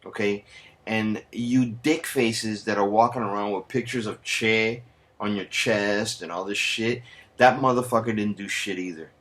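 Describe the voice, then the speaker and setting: A man's voice: on the low side, steady tone, measured pace, fairly bright tone. Profane ranting man. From United States. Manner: heated, sarcastic, swears constantly; mixes in Spanish slang. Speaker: vlogger. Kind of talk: profane angry rant.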